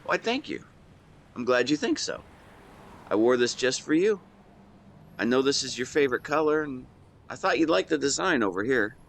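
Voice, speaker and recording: A man playing an affectionate, playful young best friend in a romantic audio roleplay, speaking to the listener in a teasing, tender, expressive way. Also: medium pitch, moderate pace, gravelly, pauses often. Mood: fond, tender.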